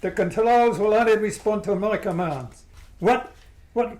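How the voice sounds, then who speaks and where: A man's voice: high-pitched, a little slow, a little mellow. An actor playing a villainous male crime boss who threatens and rages at thieves in an amateur radio play. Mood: furious. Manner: threatening, then shocked and raging.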